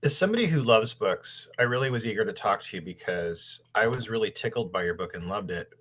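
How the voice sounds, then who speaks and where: A man's voice: fairly low pitch, expressive, a little fast, a bit bright. Chatty older male librarian host. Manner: chatty, rambling, enthusiastic. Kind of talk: casual interview chat.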